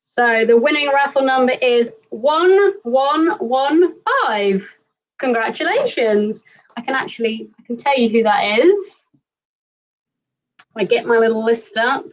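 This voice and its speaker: Female host, fairly high voice, upbeat and giggly. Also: unhurried, far from the mic, muffled recording. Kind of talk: live stream hosting. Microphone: video call.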